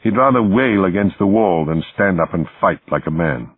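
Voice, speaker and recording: Man as arrogant navy officer, crisp Oxford accent. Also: deep voice, lively intonation, moderate pace. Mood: scornful. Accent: Oxford English. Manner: crisp, scornful. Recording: muffled, phone-like, roomy audio.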